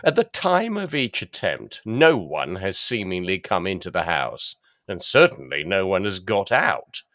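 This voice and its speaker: An actor playing a dogged, plain-spoken police detective in a gothic audio drama, formal and deferential. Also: male voice, sits low, big pitch swings, a little slow, a bit bright, no echo, studio quality, exaggerated voice. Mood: earnest, suspicious.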